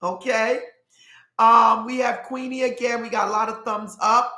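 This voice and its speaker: A woman's voice: on the high side, steady tone, a little slow, pushed and bright, roomy sound. A middle-aged woman with a nasal voice hosts a live stream, reading chat comments aloud in an upbeat way and often repeating herself. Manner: upbeat, repeats "love it" and "okay".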